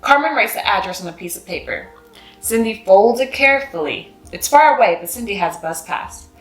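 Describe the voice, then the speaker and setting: A woman's voice: mid-range voice, lively intonation, brisk, faint music behind. A woman reading a children's picture book aloud, narrating expressively and acting out the dialogue. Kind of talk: scripted read-aloud narration with character dialogue. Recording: roomy, echoing sound.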